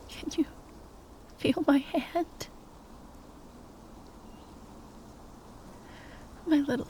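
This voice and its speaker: A woman playing a proud, grieving royal knight in a fantasy ASMR roleplay, speaking softly and haltingly, often in a breathy whisper, tender with a wry edge. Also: sits high, slight background noise. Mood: pleading, sorrowful.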